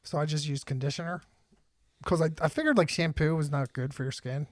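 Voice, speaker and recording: A man's voice: fairly high pitch, fairly quick, dry recording, clean studio sound. A man in his thirties hosting a podcast, chatting casually in a blunt, joking way and swearing freely. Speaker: podcast host. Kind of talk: casual chat.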